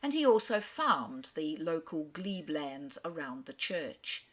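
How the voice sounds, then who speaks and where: A woman giving an enthusiastic, expressive talk on a classic author, narrating like a storyteller. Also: low-pitched, slow. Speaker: literary speaker. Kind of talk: lecture narration.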